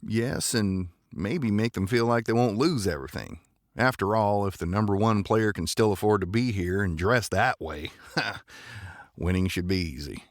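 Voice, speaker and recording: A man's voice: lowish voice, normal speed, no echo, clean studio sound, lightly acted. A man playing an easygoing, shrewd companion in a space adventure audio drama, conversational and amused in manner. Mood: thoughtful.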